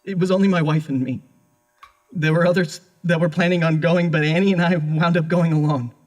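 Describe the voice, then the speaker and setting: An actor playing a distraught young husband under interrogation in an audio horror drama, halting, stammering and pleading. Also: male voice, a little high, steady tone, close to an everyday voice. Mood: guarded, evasive.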